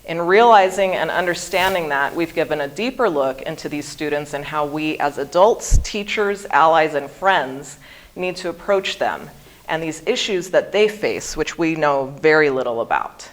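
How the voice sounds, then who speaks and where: A woman's voice: deep voice, lively intonation, normal speed, rough recording. A woman in her early forties from Hawaii, giving a prepared seminar talk and pronouncing each word with care. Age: 40s. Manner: careful, over-articulated pronunciation. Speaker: guest speaker.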